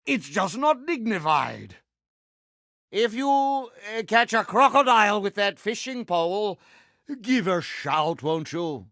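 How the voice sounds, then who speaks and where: Vain pirate villain, British accent, highish swooping pitch, grandiose, mannered, theatrical game voice. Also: male voice, big pitch swings, takes it slowly, pushed and bright, dry recording, clean studio sound, heavily acted. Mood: haughty, instructive. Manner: pompous, grandiose, mannered formal speech. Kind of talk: theatrical video game character voice acting.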